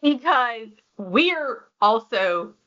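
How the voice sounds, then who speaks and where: A woman co-host speaks in an earnest, encouraging way. Her voice is high and very bright, and the recording is dull and lo-fi. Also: expressive, extremely slow. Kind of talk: casual conversation.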